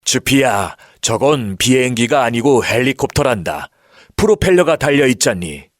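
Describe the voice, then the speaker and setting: A kindly old storybook grandfather, acted by a man, gentle and patient in his explaining. His intonation moves up and down in a lively way, and the recording is close and dry. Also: medium pitch, normal speed, dry recording, natural voice. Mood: gently explaining. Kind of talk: children's storybook acting.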